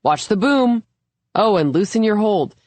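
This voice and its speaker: A woman's voice: medium pitch, expressive, unhurried, darkish tone, no room sound, voice pushed up, close to an everyday voice. A woman voicing an easygoing man who teaches sailing in an audiobook, patient, encouraging and lightly teasing. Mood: coaching, upbeat. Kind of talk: audiobook character dialogue.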